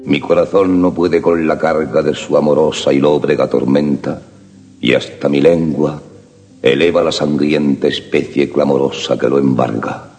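Very deep, gravelly man reciting poetry. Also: steady tone, a little mellow. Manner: slow, solemn, measured delivery. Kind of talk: recited love sonnets. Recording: long reverb with harp music behind.

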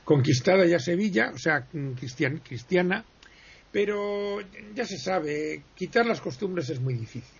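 A man explains history in a relaxed radio interview. He digresses and pauses often, correcting himself as he goes. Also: sits high, creaky, husky. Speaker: history guest speaker. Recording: muffled lo-fi broadcast sound.

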